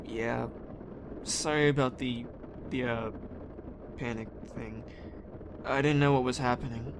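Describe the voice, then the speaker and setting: A young man playing a blunt, troubled teenage boy in an audio drama, casual and halting. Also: medium pitch, fairly even intonation, natural voice. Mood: awkward, casual. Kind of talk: fan audio drama acting. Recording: noisy ambience behind the voice.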